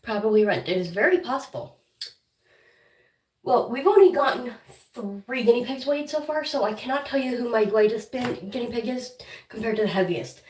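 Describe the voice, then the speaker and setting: A woman with a head cold who keeps clearing her throat, chatting casually on a home livestream while caring for her guinea pigs and talking fondly to them. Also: on the high side, expressive, moderate pace, darkish tone, roomy sound, far from the mic, poor audio. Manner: chatty, talks to her pets affectionately. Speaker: guinea pig channel host. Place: room at home, weighing pets on a counter.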